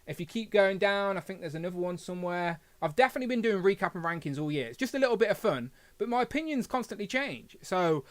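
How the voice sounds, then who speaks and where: Chatty host with a lisp, high voice. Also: male voice, a bit bright, no echo. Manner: chatty, rambling, self-deprecating. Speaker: live stream host. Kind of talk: casual live stream chat.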